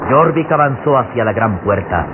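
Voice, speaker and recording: A man's voice: mid-range voice, lively intonation, moderate pace. A man giving solemn scripted narration for a radio drama. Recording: muffled, noisy old radio drama recording.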